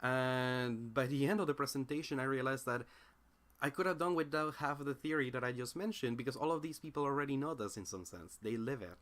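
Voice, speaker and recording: A young man with a Spanish accent thinks aloud in a relaxed commentary voice, pitched in the middle range. He stumbles and restarts often, trading polish for sincerity as he works through ideas. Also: brisk. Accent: Spanish-speaking. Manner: thoughtful, searching, many fillers and restarts. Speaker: independent philosophy commentator. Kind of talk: casual reflective monologue.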